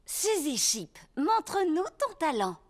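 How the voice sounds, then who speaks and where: An actress playing an encouraging teacher of small children in a preschool cartoon. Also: female voice, sits high, sing-song, takes it slowly, heavily acted. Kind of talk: scripted cartoon dialogue. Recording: strong background noise.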